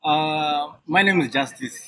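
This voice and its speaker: A man in his thirties speaks as a podcast guest, his voice bright and forward. He talks hesitantly, with frequent restarts and fillers. The talk is recorded outdoors on a sidewalk by a coffee shop. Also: a little high, measured pace. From Zimbabwe. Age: 30s.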